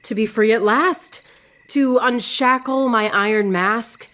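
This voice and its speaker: An actress playing a witty, proud, lovelorn woman poet in radio theatre, speaking in a theatrical, yearning way with drawn-out phrases. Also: on the high side, fairly bright tone, a little hiss or hum. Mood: passionate. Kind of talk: poetic radio theatre acting.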